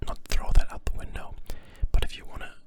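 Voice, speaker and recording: Young whisperer, informal ASMR language tutor, rambling and carefully repeating words. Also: a little fast. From Germany. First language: German. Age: young adult. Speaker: language teacher.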